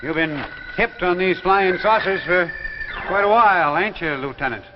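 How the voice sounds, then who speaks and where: An actor playing a crazy, sly old small-town man in a 1950s drama, with a rural American accent and a folksy, rambling, excitable way of talking. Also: high-pitched, moderate pace, close to an everyday voice. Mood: needling, sly. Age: older. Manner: folksy, rambling, cackling, repeats himself. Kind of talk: 1950s television drama acting. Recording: noisy, muffled 1950s soundtrack with long reverb.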